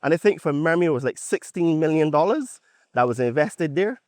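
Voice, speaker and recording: A man's voice: a little high, expressive, fairly quick, a little mellow, dry recording, clean studio sound. A man from Barbados with a Bajan accent gives an opinionated podcast monologue, conversational and emphatic, often checking his points with a tag question. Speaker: podcast host.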